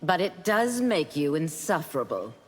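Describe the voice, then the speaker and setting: An actress playing a confident, playful young woman fighter in a video game, teasing, with reverb and loud noise. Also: sits low, big pitch swings, normal speed. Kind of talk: video game character dialogue.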